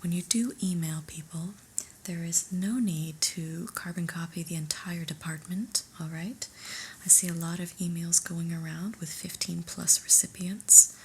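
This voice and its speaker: A whispering female boss, acted by a woman in an ASMR roleplay, stern and unimpressed. Her breathy delivery is very slow and slightly low, with dry, officious emphasis. There is faint noise behind her. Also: fairly even intonation. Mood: exasperated.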